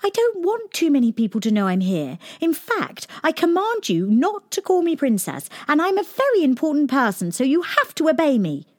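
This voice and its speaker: A woman's voice: wildly swooping pitch, brisk, hardly any pauses, dark tone. A woman voicing a spoiled, bossy young princess girl in a storybook. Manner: high, imperious, pleading when upset.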